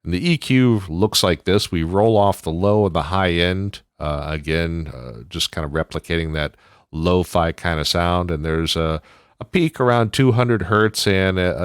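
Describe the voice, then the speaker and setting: Very deep-voiced man explaining audio, dry sound. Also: clean tone, no room sound. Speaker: audio tutorial host. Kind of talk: casual explanatory tutorial.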